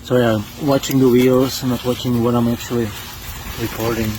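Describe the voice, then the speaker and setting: A man with a heavy Czech accent filming himself outdoors on a camera mic, talking through a product test in a casual vlog, with a halting delivery full of fillers and restarts. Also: medium pitch, little pitch movement, a little mellow, rough recording. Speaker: product tester. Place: outdoors on trails and beach. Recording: outdoor noise.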